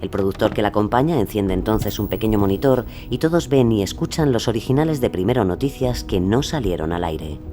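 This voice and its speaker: A man's voice: on the low side, expressive, brisk, few pauses, dark tone. A man delivering scripted dramatic narration for a documentary-style audio story. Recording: music under the narration.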